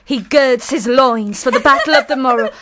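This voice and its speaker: A woman acts a stern eldest sister in a Victorian radio play. Her voice is high, her diction formal and admonishing, full of high-minded propriety. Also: normal speed, fairly bright tone. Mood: reproving. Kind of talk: radio drama acting.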